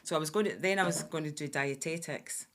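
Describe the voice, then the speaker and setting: A Scottish woman from Fife, around sixty, chatting warmly about her past as a guest on a podcast, with a lot of "aye" and "uh-huh.". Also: low-pitched, a little fast. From Scotland. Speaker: guest, veteran hairdresser. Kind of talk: casual interview chat.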